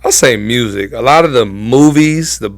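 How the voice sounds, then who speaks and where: A man's voice: mid-range voice, expressive, moderate pace, dry recording, clean studio sound. A young American man telling personal stories casually as an interview guest, in informal, slangy speech. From Southern California, United States. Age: young adult.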